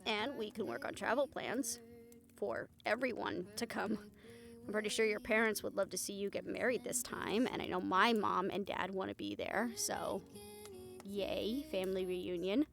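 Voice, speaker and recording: A woman playing a devoted, excitable young vampire bride-to-be in a fantasy romance audio roleplay, expressive and affectionate. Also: mid-range voice, normal speed, vocal fry. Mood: excited. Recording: faint music behind the voice.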